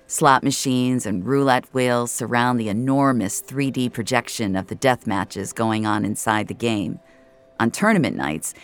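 VR gamer narrator, audio drama, conversational, animated intonation, fry-laden voice, music underneath. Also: mid-range voice. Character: Jamie, self-aware online gamer narrating life in a VR world. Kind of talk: first-person scripted narration in an audio drama.

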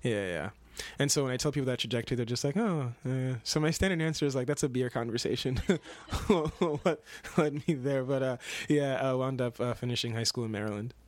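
Young man from Kenya, actor and dancer guest, rambling, full of restarts, laughs a lot, mid-pitched voice, dry close sound. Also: a little fast, no echo. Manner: rambling, many restarts and fillers, laughs often. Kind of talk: casual interview chat.

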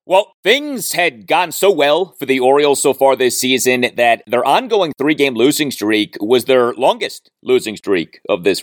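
An American man hosting a sports podcast, giving a solo baseball recap with emphatic, deliberate phrasing and dramatic pauses. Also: medium pitch, dry recording, studio quality.

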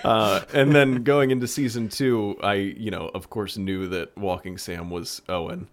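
A man with a lowish voice speaks as a producer in a casual recap. He tells his stories in an animated way, acting out his own reactions. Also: a little slow. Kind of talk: casual chat, anecdotal recap.

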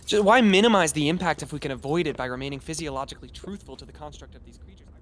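An actor playing an earnest, nerdy, wordy creature wrangler in an audio comedy. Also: male voice, on the high side, rapid-fire, close to an everyday voice.

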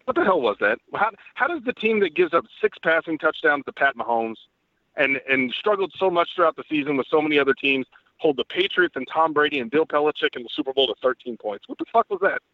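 A man's voice: a little high, animated, quick pace, no echo. A man in casual sports banter as a guest journalist, crude and joking with a mock-analytical manner. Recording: muffled phone-like line, dry.

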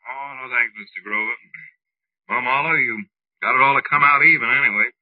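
An actor as a fast-talking radio police lieutenant. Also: male voice, mid-range voice, dry recording, close to an everyday voice. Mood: wry. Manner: brisk, no-nonsense. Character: gruff, grim male police lieutenant. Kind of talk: 1940s radio drama acting. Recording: dry, dull old radio recording.

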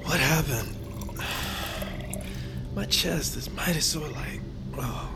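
A man's voice: a little high, takes it slowly, vocal fry, over loud music. An actor playing a bewildered, wounded country man, hesitant and plaintive. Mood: dazed, pained.

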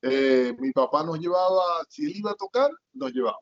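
A man's voice: on the high side, very animated, a little fast. A middle-aged man from Zulia, Venezuela, with a Zulian accent, telling his life story as a guest in an interview and rambling with many fillers and restarts. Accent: Zulian Venezuelan Spanish. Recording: dull lo-fi audio.

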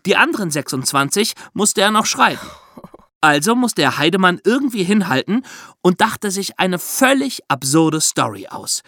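A man with a fairly high voice and very lively intonation tells history like a story to a friend. He explains as a podcast host in an engaged, conversational way, recorded close and dry. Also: moderate pace, no room sound.

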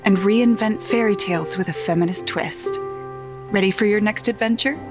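A woman's voice: medium pitch, moderate pace, over music. A woman reading scripted trailer narration for an audio drama. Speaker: promo narrator.

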